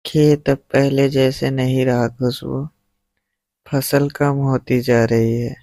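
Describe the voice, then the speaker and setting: A man's voice: medium pitch, little pitch movement, takes it slowly, warm tone, no echo. A man playing a worried, struggling village farmer in a moral-story cartoon, speaking earnestly. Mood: troubled.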